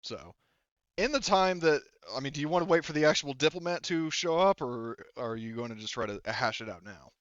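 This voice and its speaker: A man working as game master, narrating a tabletop game in a casual, halting, conversational way. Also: a little high, no room sound, lo-fi sound.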